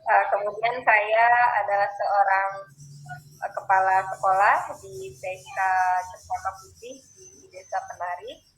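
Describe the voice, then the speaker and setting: A woman's voice: medium pitch, measured pace, soft background music, low-quality recording. A woman in her thirties, a kindergarten principal, introducing herself politely on a video call. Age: 30s. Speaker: kindergarten principal and training mentor.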